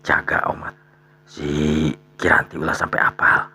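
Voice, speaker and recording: A man voicing a resigned, secretive older father in a radio drama, muttering and sighing in a gravelly, breathy voice. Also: very deep voice, level tone, a little slow, bright, forceful tone, full character voice. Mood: cautious. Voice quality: gravelly, breathy, dropping to near whisper. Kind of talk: radio storytelling drama dialogue.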